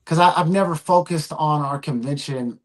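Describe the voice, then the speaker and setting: A man who runs a comic publisher and convention, chatting casually in a relaxed, rambling way with many fillers. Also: a little high, normal speed. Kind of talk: casual podcast chat.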